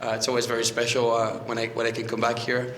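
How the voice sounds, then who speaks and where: Man, French accent, 30s, flat-toned lecture, podium mic, roomy echo. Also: mid-range voice, fast, a little hiss or hum. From France. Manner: conversational, frequent restarts and fillers. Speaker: guest lecturer. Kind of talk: public history lecture. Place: library meeting room. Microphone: podium microphone. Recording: echoey room.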